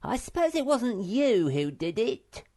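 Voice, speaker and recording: A man voicing an excitable small male creature in a storybook, in a very high, strained voice. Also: takes it slowly, vocal fry, full character voice. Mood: excited. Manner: shrill, excitable.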